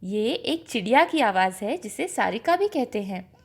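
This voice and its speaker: A woman's voice: a little high, big pitch swings, normal speed, breathy edge, full character voice. A woman voicing a friendly uncle who works as a forest guide, explaining things in a children's story. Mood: cheerful, explaining. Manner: whispering, explanatory.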